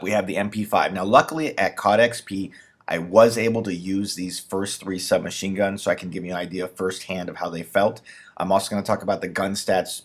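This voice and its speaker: Deep-voiced man, slightly raspy, losing his voice. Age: 30s. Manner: enthusiastic, rambling with fillers. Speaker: gaming YouTuber. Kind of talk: casual gun breakdown commentary.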